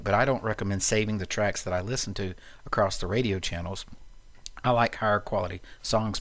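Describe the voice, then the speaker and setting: Male tutorial presenter, fairly low pitch, informal and unscripted, explains software step by step. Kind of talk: informal screencast walkthrough.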